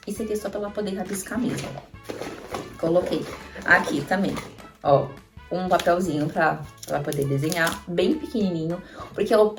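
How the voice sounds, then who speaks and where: A woman in her thirties with a Brazilian accent, a mother showing travel items in a chatty vlog and talking straight to viewers. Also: lowish voice. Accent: Brazilian Portuguese. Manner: chatty, addresses viewers directly with frequent tag questions. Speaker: mother vlogger. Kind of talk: casual show-and-tell tips. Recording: echoey room, mic at a distance, faint music.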